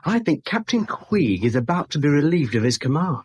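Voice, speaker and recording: A man's voice: on the high side, big pitch swings, a little fast, a little mellow, husky. A man acting a cheeky, hard-up young bank clerk in a 1970s radio drama, deferential to his bosses.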